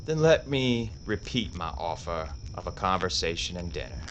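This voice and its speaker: A man playing a cool, deep-voiced outlaw. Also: sing-song, dull audio, a little hiss or hum. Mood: calm. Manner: slow, quiet, unhurried warnings. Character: calm, deadly male outlaw gunfighter. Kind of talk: audio drama acting.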